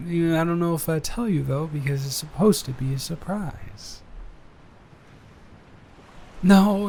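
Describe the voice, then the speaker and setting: A man playing a nervous, adoring young boyfriend in an improvised intimate roleplay audio, speaking in a halting, bashful, rambling way. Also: a little high, gravelly, mellow, slight background noise. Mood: nervous, teasing.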